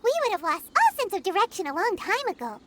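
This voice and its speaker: A woman voicing a tiny, excitable, chirpy childlike companion with a squeaky voice in a video game. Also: fast talker, heavy background noise. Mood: excited. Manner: refers to self in third person, exclaims eagerly.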